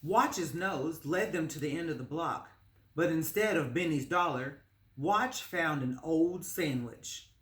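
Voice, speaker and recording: A woman reading a children's story aloud as narrator, in a measured, expressive storytelling manner. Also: low voice. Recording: roomy, echoing space.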